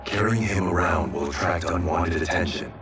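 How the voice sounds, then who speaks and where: An actor playing a wary, sober warrior in a video game. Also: male voice, low voice, normal speed, close to an everyday voice. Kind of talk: cinematic video game acting. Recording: echoey, distant, music behind.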